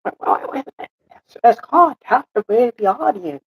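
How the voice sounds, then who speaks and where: A man voicing an excitable, bossy and earnest comedy sketch character who stammers and repeats himself insistently. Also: very high-pitched, normal speed, vocal fry, breathy edge, pitched above the performer's own voice, full character voice, low-quality recording. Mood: indignant insistence.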